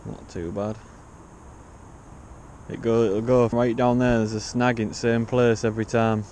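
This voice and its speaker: A man's voice: mid-range voice, flat delivery, measured pace, darkish tone, a little hiss or hum. A young man with an English accent chatting casually and in slang as he fishes outdoors, picked up on an action camera. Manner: informal, slangy. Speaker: angler hosting a fishing vlog. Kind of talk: casual chat while fishing. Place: outdoors on a riverbank. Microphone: body-worn action camera.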